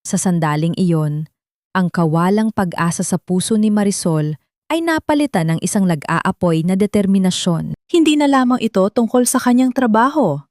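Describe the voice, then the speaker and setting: A woman's voice: mid-range voice, expressive, moderate pace, darkish tone, no room sound, clean studio sound. A woman reading a scripted dramatic story as an audiobook narrator.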